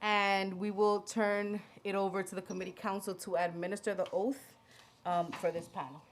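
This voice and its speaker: A woman's voice: medium pitch, flat delivery, normal speed, slight background noise. A woman with a Dominican accent chairing a public council hearing, reading acknowledgements and stumbling over names with light self-deprecating asides, speaking into a desk microphone in a hearing room. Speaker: committee chair.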